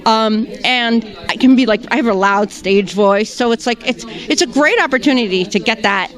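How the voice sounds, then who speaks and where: A woman actress answering red carpet questions, hesitant, with many pauses and restarts. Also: a little high.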